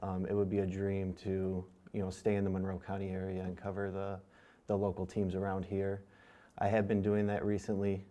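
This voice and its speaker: A middle-aged man from Michigan, answering and asking questions in a measured, deliberate way with filler pauses. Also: low voice, monotone, dark tone. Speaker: returning student and interviewee. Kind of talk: casual interview chat.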